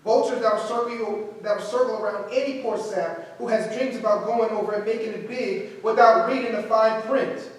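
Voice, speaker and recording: A man's voice: high-pitched, steady tone, normal speed, hardly any pauses, a little hiss or hum, natural voice. A man playing a proud, embittered, stubborn actor, emphatic and colloquial, in a staged play reading. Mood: angry, defiant. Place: echoey room at a staged reading. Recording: very echoey room, distant microphone.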